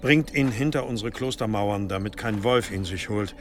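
An actor playing an authoritative, curt monastery abbot in a German radio drama. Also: male voice, on the low side, moderate pace, slight background noise, close to an everyday voice. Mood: commanding. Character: stern, commanding medieval monastery abbot.

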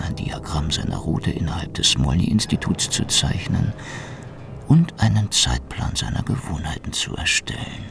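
A man playing a cynical Soviet secret police agent who confesses in a deliberate, formal recital, in a radio drama. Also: rumbling low, vocal fry, full character voice.

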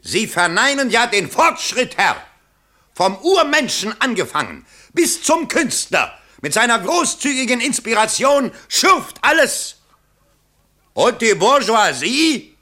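Bombastic candidate, wildly swinging pitch. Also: male voice, sing-song, slow pace, sharp tone, exaggerated voice. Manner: bombastic, theatrical oratory. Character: pompous, anxious male election candidate rehearsing and declaiming speeches. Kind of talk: 1970s German radio play acting.

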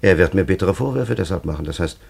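A man's voice: sits low, expressive, fast, gravelly, darkish tone, breathy edge, heavily acted. An actor playing an ancient, cunning and patronising time traveller in a radio play, telling his story in a solemn, elaborate, theatrical way. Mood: grave, lecturing.

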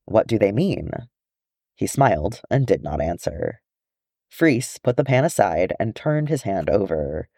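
A man reading a fantasy story aloud as expressive scripted narration. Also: lowish voice, a little slow, creaky, no echo, studio quality. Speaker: audiobook reader of fan fiction.

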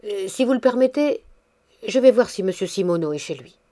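A woman voicing a gruff but kindly old woman neighbor who fusses and takes charge in a bossy, brusque manner. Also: mid-range voice, animated, fairly quick, mellow, natural voice. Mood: muttering.

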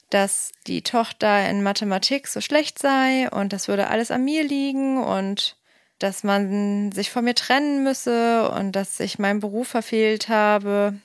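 A woman's voice: medium pitch, clean tone, dry recording, clean studio sound, natural voice. An actress in a radio drama playing a frustrated teacher who tells her audio diary about a complaint, indignant and wry.

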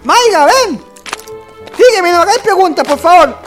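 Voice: extremely high pitch, lively intonation, moderate pace, soft background music. A performer playing a frightened, pleading young man on the run, with a Chilean accent, in a radio drama. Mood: panicked.

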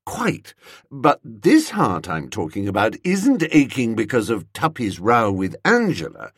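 A man playing an earnest, foppish young upper-class British gentleman in a comic audiobook, slangy, emphatic and hesitant. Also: fairly low pitch, wildly swooping pitch, unhurried, slightly rough, no echo, studio quality, heavily acted. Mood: exasperated, insistent.

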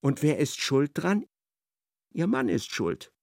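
A man's voice: a little high, wildly swooping pitch, takes it slowly, creaky, a little mellow, studio quality, exaggerated voice. A man narrating a literary radio drama as a wry storyteller who echoes an aggrieved wife's thoughts. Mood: indignant, wry.

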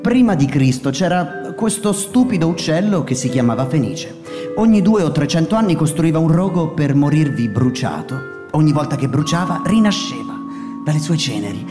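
A man plays a weary wanderer in a radio play, musing wryly and slowly. His pitch moves a lot, and there is a long reverb in the room. Music sounds behind him. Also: lively intonation, natural voice. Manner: reflective, wry, slow. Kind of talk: radio drama acting.

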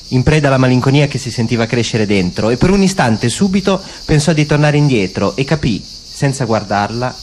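A man narrating a literary radio drama in a slow, sombre way. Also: mid-range voice, a little hiss or hum.

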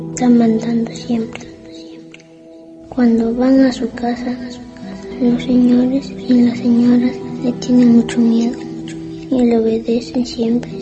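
A frightened little schoolboy in a radio play, thinking aloud in a high, even voice. He sounds simple and plaintive, with music clearly playing behind him. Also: lo-fi sound. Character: timid, frightened little schoolboy of humble origins. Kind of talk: radio drama inner monologue.

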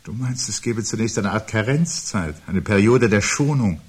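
An actor playing a brooding, pedantic husband gripped by foreboding, in measured, formal, husky radio-play speech. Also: male voice, fairly low pitch, lively intonation. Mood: brooding unease. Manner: measured, formal, portentous diction. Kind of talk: 1970s German radio play acting.

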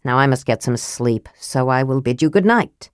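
A woman reading an audiobook, voicing an honorable young male knight who speaks quietly and gravely, in a measured way. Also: extremely low pitch, animated, no echo, clean studio sound, pitched below the performer's own voice. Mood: quiet, reproachful. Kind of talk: audiobook character voice.

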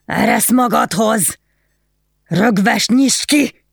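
Woman doing a drunk nobleman, slurring, shouting, sneering, dry close sound. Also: on the low side, lively intonation, vocal fry, dry recording. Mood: drunk raging. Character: drunk mocking nobleman, middle-aged man.

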